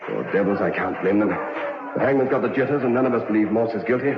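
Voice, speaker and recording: An actor playing a stern but humane prison governor in an old radio drama, formal and authoritative. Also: male voice, fairly low pitch, fast, lightly acted. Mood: worried, sympathetic. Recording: noisy, muffled old radio recording.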